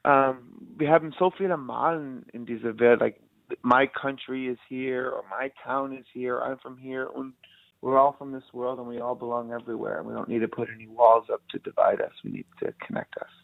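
A man from the United States, a musician, answering in halting German and slipping into English. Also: mid-range voice, fairly even intonation, creaky, no room sound. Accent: American. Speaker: musician guest. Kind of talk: casual interview in learner German. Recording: dry, phone-like line.

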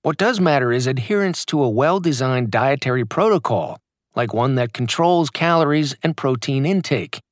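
A man narrates his own motivational fitness audiobook, hitting key words hard with an emphatic, punchy delivery. His pitch sits mid-range but moves a lot. The recording is dry and close, with no room sound. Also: animated, moderate pace, no room sound. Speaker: author reading his audiobook.

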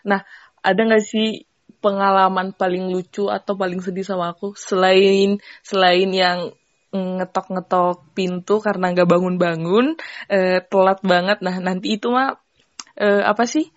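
A young woman from Sumatra hosting a casual podcast chat, playful and quick to joke and laugh. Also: medium pitch, fairly even intonation, no room sound, lo-fi sound. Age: young adult. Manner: playful, joking, laughs often. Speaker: podcast host.

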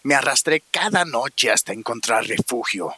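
An actor playing a weary war survivor pleading for mercy, earnest, with a sharp, gravelly, husky voice, in a Spanish-language radio drama. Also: male voice, mid-range voice, expressive, normal speed, no echo.

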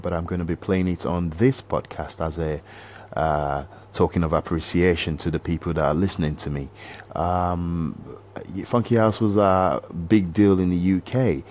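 A man talks through his solo podcast in a fairly low voice. He rambles and restarts, padding his thoughts with uhs. His delivery jumps from animated bursts to quiet muttering. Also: slight background noise. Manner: swings between animated outbursts and low mutters. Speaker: podcast host. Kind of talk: casual solo podcast monologue.

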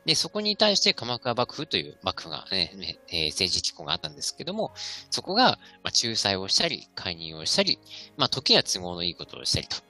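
A man giving an informal, impromptu history explanation in halting, choppy phrases with many fillers. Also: fairly low pitch, huge pitch swings, sharp tone, soft background music. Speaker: history club member, podcast panelist.

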